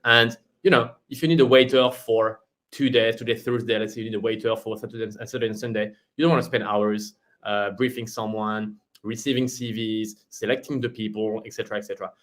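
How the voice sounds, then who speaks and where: A man's voice: flat delivery, fairly quick, a bit bright. Man explaining a platform. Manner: explanatory, pitching. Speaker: company managing director. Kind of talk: webinar product explanation.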